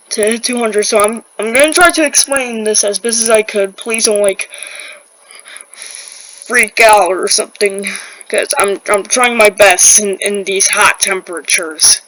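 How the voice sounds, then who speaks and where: A woman playing a distressed weather broadcaster in an amateur disaster drama, stammering and halting as she struggles through deadly heat. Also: medium pitch, fairly bright tone, no echo, natural voice, poor audio. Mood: uneasy, queasy.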